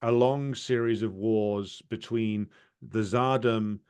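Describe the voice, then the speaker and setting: A man's voice: on the low side, steady tone, slow pace, darkish tone, clean tone. A man hosting a political history discussion, talking casually with frequent restarts and fillers. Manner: hesitant, many restarts and fillers. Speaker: interviewer.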